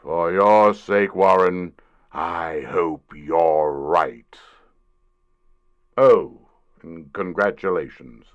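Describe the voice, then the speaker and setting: A man in an audio drama playing a gruff, condescending corporate boss, pompous and grudging in his delivery. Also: sits low, very slow, long pauses, lo-fi sound.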